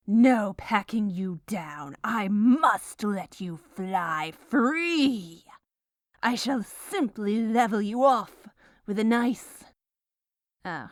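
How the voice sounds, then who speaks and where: A woman's voice: on the high side, dry recording, clean studio sound. A woman performing scripted comedic ASMR narration as a gleefully menacing, melodramatic baker, with a theatrical villainous delivery.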